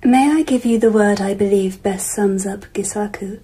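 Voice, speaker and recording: A reflective, dignified empress in a radio drama. Her voice is medium in pitch and extremely breathy, often nearly whispered. She tells her story in measured, hushed phrases. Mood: reflective, composed. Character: dignified, reflective empress recalling mysterious events.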